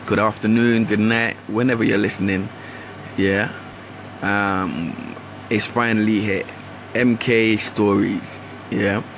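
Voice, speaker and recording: Gravelly London man with a Caribbean lilt. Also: on the low side, fairly even intonation, takes it slowly, a bit bright, a little hiss or hum. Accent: London with Caribbean traces. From Guyana. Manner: rambling, slangy, restarts often. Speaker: podcast host. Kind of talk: casual solo monologue.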